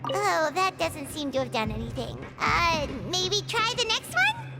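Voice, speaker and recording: Game companion role: little fairy girl, very squeaky, excitable and quick to indignation, with bouncing melodic delivery. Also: loud music behind the voice, full character voice. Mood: hesitant. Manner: exclamatory, expressive. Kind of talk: video game voice acting.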